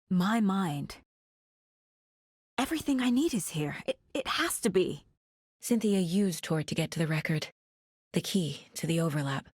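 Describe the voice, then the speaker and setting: A woman voice actress playing a determined, guarded female investigator in a video game, speaking in a measured, serious, naturalistic way. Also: lowish voice, husky, dry recording, clean studio sound. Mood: anguished, resolute, then hushed, pondering. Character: determined, sharp female FBI investigator, guarded yet driven.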